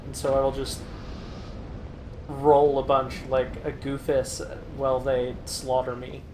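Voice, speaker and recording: A man hosts a casual gaming session and rambles at length, stopping and starting with plenty of ums. He sits at an average pitch in an echoey room, with a clear hiss of noise underneath. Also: monotone, measured pace. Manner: rambling, many fillers and restarts. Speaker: let's play host. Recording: roomy mic with audible noise.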